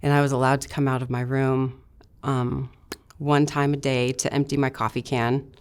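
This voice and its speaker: A woman's voice: rumbling low, flat delivery, normal speed, smooth. A young woman from Idaho, speaking plainly and matter-of-factly as an interview guest, with frequent filler words. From Idaho, USA. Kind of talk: candid interview recounting her past.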